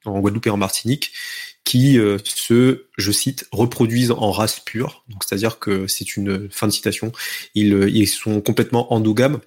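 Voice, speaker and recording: A man's voice: lowish voice, steady tone, moderate pace, a little mellow, breathy edge. A man leading a casual discussion, asking questions hesitantly with frequent restarts. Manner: hesitant, many restarts and fillers. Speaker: discussion host.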